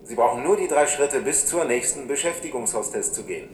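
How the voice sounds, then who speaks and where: A man's voice: medium pitch, lively intonation, brisk, lightly acted. A man playing a stadium announcer who promotes scores and bets in a radio play. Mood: promotional. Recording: crowd noise behind.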